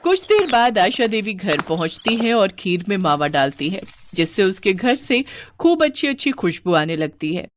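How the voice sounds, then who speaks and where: A woman's voice: medium pitch, sing-song, moderate pace, hardly any pauses, background noise. A woman narrating a scripted moral story as a storyteller.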